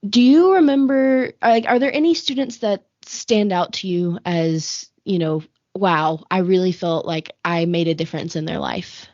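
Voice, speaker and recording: A woman's voice: on the low side, a little slow, hardly any pauses, darkish tone, no echo, lo-fi sound. A woman hosting an interview, asking questions and affirming answers briefly. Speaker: interviewer, host. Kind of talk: conversational interview.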